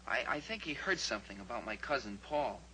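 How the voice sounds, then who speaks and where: An actor playing a scared, defensive young ranch son in a 1950s radio drama, hesitant and defensive. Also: male voice, mid-range voice, sing-song, a little fast, sharp tone, slight background noise, poor audio.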